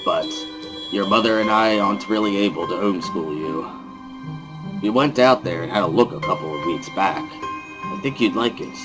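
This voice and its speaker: A man acting a gentle, persuasive father who speaks in a coaxing way in a visual novel reading. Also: deep voice, normal speed, over music, natural voice, poor audio.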